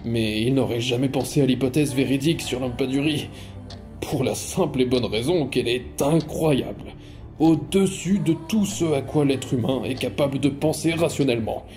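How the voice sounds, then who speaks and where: A man plays a menacing magistrate in an audio drama. He speaks in a dark, mellow middle register with deliberate courtesy and small hesitations. Soft music runs underneath. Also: medium pitch, close to an everyday voice. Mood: smug. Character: polite, menacing male magistrate, pious servant of time. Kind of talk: French audio drama acting.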